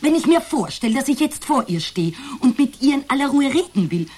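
A woman's voice: high voice, very animated, a little fast, a little mellow. An actress playing a hesitant, burdened therapy client in a radio drama, searching for her words in conversational speech. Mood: hesitant, reflective.

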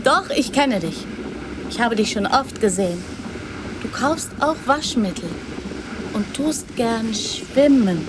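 A woman's voice: a little high, animated, takes it slowly, noisy background. An actress playing a trusting, direct young girl in a radio drama, speaking in plain, naive short sentences. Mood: curious, insistent.

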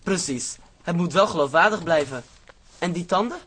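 A cheeky computer expert in a radio play, lively pitch, kinda raspy, breezy and teasing. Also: male voice, normal speed. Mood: amused. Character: cheeky, self-assured male photo-software expert. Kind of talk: radio drama acting.

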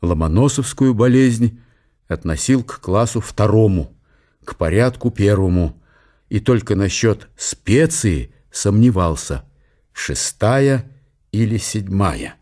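A man's voice: fairly low pitch, animated, slow, warm tone. A man narrating a historical radio play as scripted literary storytelling.

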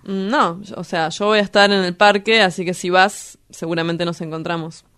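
A woman's voice: fairly low pitch, steady tone, moderate pace, fairly bright tone, clean tone, lightly acted. A woman with an Argentine accent playing a cynical, shameless young male lover in a radio drama. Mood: guarded.

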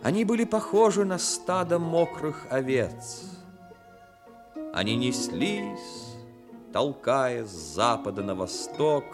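Мужчина-рассказчик выразительно читает художественный текст в манере радиоспектакля 1960-х. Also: male voice, medium pitch, very animated, slow pace. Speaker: narrator. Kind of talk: scripted dramatic storytelling, 1960s radio. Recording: 1960s radio with music behind. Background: music.

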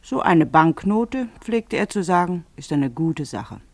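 A woman narrating a radio play in a theatrical, deliberate way with drawn-out emphasis. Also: lowish voice, sing-song, a little mellow, dry recording, full character voice.

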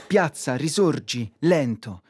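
An actor playing a fevered prophet narrating a doomed city, declaiming a theatrical monologue with insistent repetitions. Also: male voice, fairly high pitch, expressive, slow pace, husky, full character voice. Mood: solemn, poetic.

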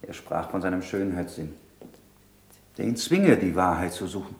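An actor playing a grave, reflective disciple in a radio play, speaking in a measured, sombre way. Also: male voice, low voice, a little mellow. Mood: anxious, reflective. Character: grave, reflective male disciple keeping an anxious vigil. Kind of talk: 1960s radio play acting. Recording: echoey 1960s radio studio.

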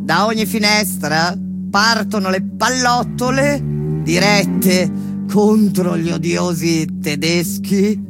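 Loud music surrounds a female resistance fighter giving grave, intense testimony in a stage drama. She speaks slowly and deliberately. Her delivery is solemn and declamatory, at an ordinary female pitch. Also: vocal fry. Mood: grave, restrained. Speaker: actress narrating. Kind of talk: dramatic theatrical narration of a text set to music. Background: loud instrumental music.

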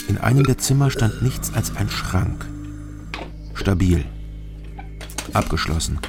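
Radio noir lead: terse, wary sleuth, gravelly low voice, music underneath. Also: male voice. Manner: terse, matter-of-fact, sceptical. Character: wary, dry male investigator narrating his own case. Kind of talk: radio crime drama narration and dialogue.

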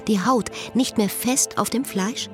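A woman's voice: on the high side, measured pace, soft background music, close to an everyday voice. An actress playing a lovesick, restless woman who speaks her inner thoughts in a radio drama. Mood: intimate, brooding.

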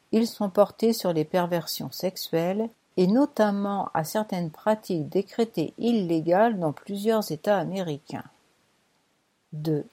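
A woman narrating a first-person novel as an audiobook, in a measured, wry storytelling manner. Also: fairly low pitch, dark tone.

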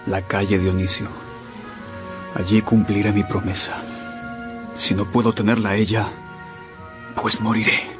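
Actor as an earnest young lover over music. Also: male voice, lowish voice, little pitch movement, measured pace, pauses often, natural voice. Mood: resolute despair. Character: earnest, passionate young man in love. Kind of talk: radio drama acting. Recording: prominent music under the voice.

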